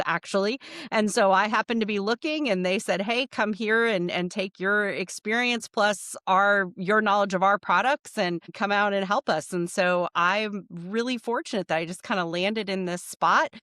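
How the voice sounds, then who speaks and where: A woman's voice: medium pitch, fairly bright tone, no room sound, clean studio sound. A middle-aged woman from Nebraska with a Midwestern American accent, answering conversationally and enthusiastically as a podcast guest. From Nebraska, United States. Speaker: podcast guest, nonprofit fundraising professional.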